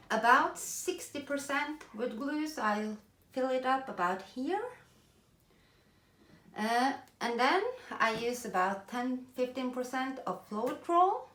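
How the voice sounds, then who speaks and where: A woman with a Norwegian accent explaining a craft project in casual chat, chatty and self-deprecating, pausing to search for English words. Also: fairly high pitch, reverberant. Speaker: craft video host. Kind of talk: casual tutorial talk while working.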